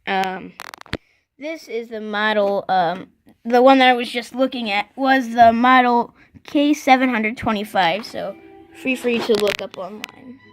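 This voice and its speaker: A vlogger casually showing off a collection, speaking unscripted and haltingly, often stopping to clear the throat. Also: medium pitch, faint music behind, rough recording. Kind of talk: casual unscripted show-and-tell.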